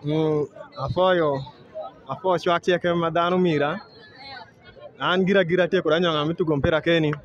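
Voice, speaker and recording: A young man, a goalkeeper, answering post-match questions outdoors in a calm, hesitant way into a handheld mic. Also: on the high side, moderate pace, long pauses. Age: young adult. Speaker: goalkeeper, interviewee. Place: outdoor football field among a large crowd. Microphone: handheld mic held by interviewer. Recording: slightly dull, crowd noise outdoors.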